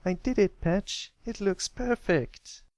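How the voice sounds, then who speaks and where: A man's voice: high-pitched, slow pace, a little mellow, no echo, voice pushed up. An eager young pony colt, acted out by a man reading a story, speaks in a raised, boyish voice. He is earnest and a little hesitant, the admiring kid brother, recorded dry and close.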